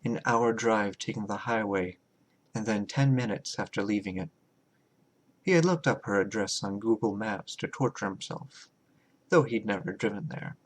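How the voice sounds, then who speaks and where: A man reading his own horror short story as a scripted, dramatic narration, deliberate and measured, with pauses. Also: on the low side, slight background noise.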